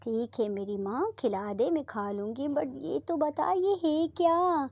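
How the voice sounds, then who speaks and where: A woman's voice: high voice, slow, darkish tone, no room sound. A woman voicing a fussy, over-dramatic young woman who refuses the soup she is given. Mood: teasing.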